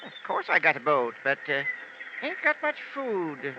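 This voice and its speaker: A folksy old fisherman, high voice, sly and grumpy, noisy old radio recording. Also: huge pitch swings, normal speed, highs cut off. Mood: sly, haggling. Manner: folksy, hesitant haggling, crotchety. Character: grasping, cantankerous old island fisherman swindler. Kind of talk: 1940s-50s American radio drama character acting.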